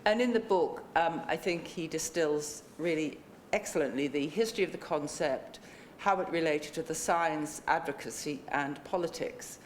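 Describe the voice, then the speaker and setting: A woman in her sixties chairs a book launch and gives measured, polite welcoming remarks with frequent ums. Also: sits low, slight background noise. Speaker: panel chair and book co-author. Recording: echoey lecture room.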